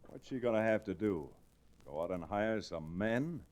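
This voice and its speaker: An actor playing an upright, folksy western lawyer with an American accent and wry delivery in 1940s radio style. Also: male voice, lowish voice, expressive, normal speed, darkish tone, natural voice. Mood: defiant. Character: upright, folksy western lawyer hero narrating his adventures.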